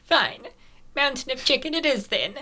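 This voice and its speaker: A woman voicing an eager, pouty, food-loving young elf schoolgirl in a comic dub, cutesy and expressive. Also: sits high, moderate pace, exaggerated voice. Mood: indignant. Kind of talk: comic dub character acting.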